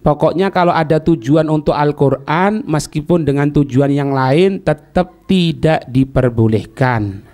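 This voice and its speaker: Slow-talking man teaching religion. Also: on the high side, a little mellow. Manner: deliberate, halting phrases with pauses. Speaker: Islamic teacher. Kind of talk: religious lesson, reading Arabic text and explaining it phrase by phrase.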